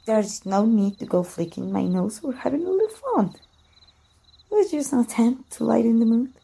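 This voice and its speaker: A woman voicing a proud, teasing, wounded immortal warrior in an ASMR roleplay, with soft, breathy, archaic formal speech. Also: fairly high pitch, heavily acted. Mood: playfully scandalized.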